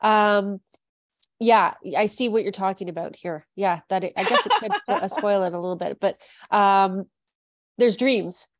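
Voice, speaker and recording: A woman's voice: mid-range voice, animated, normal speed, no echo, clean studio sound. A woman from Canada hosting a podcast interview, chatting casually, laughing often and restarting her sentences. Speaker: podcast host.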